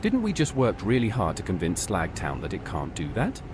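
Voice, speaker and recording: A man's voice: sits low, wildly swooping pitch, moderate pace, noisy background. A man playing an inquisitive adventurer in a fantasy game, with a plain-spoken, questioning manner. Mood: puzzled. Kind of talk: AI-voiced fantasy game dialogue.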